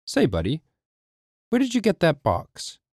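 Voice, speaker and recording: A man doing a gruff scheming overseer. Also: on the high side, wildly swooping pitch, unhurried, darkish tone, dry recording, studio quality, voice pushed up, exaggerated voice. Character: rough, scheming male overseer.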